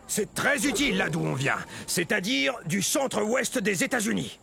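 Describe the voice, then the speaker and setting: Bossy proud dinosaur coach in a kids cartoon, really gravelly, lively pitch, music under it. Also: male voice, normal speed, heavily acted. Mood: commanding, proud. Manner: barking orders like a coach. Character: hearty male stegosaurus sports coach, commanding and proud. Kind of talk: animated educational cartoon for children.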